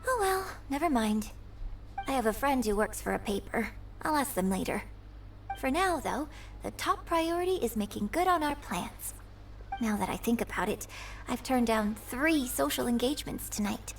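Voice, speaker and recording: Graceful high-voiced young opera star. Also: female voice, animated, distant mic, slight background noise. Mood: light, decided. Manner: refined, courteous, measured phrasing. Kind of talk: video game voice acting. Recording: game music bed behind voice.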